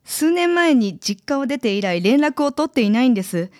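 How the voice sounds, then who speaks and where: A woman plays a mysterious, polite client in a radio drama. Her voice is a little high and recorded dry, with a formal, guarded, hesitant manner. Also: big pitch swings, moderate pace, a little mellow, no echo. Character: polite, evasive, mysterious adult female client. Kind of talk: Japanese radio drama acting.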